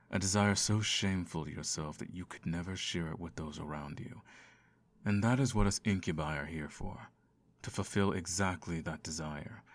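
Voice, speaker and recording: Man playing a deep-voiced sardonic incubus. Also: vocal fry, dry recording. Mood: coaxing, seductive. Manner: theatrical, sighing, dry sarcasm. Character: exasperated male incubus, sardonic, seductive lust demon. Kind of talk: ASMR roleplay monologue.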